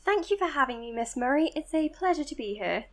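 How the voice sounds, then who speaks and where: Young lady psychiatrist, high pitched, modest, precise, bit hesitant, audio drama style. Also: animated, brisk. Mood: polite, modest. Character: earnest, modest young woman psychiatrist, careful and devoted. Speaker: doctor being interviewed on a podcast. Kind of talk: audio drama acting, natural interview and voice notes.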